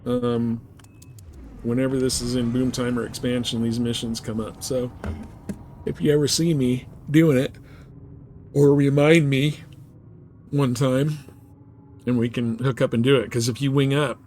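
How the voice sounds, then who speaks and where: A man's voice: medium pitch, steady tone, measured pace, long pauses, warm tone. Laid-back, slangy man streaming over game noise. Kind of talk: casual chat with viewers while playing.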